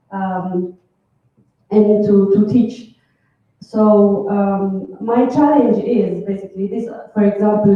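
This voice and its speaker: A woman, speaking English as a while pitching a project at a live event, pausing often with ums and restarts. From Ethiopia. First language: Amharic. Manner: hesitant, many ums and restarts. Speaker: hackathon participant pitching a project. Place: large room at a live event. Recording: echoey room from a distance, tinny phone-like sound.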